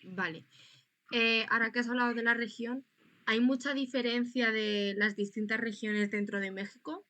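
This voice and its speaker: A woman's voice: fairly high pitch, fairly even intonation, normal speed, bright, forceful tone. A young woman with a northern Spanish accent, informally interviewing in a chatty, colloquial way as a student. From Spain. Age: young adult.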